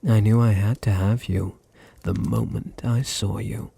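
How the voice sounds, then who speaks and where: A man performs a silky, menacing male vampire master with a British accent for an intimate ASMR roleplay. His voice is low and gravelly, with a heavy breathy husk. He is languid and possessive, purring condescending endearments. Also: steady tone, unhurried, dark tone, no echo, full character voice. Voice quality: deep, breathy, husky.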